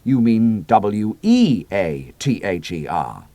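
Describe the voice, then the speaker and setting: A man's voice: lowish voice, sing-song, slow, warm tone, no room sound, heavily acted. A man reading a stern, matter-of-fact officer.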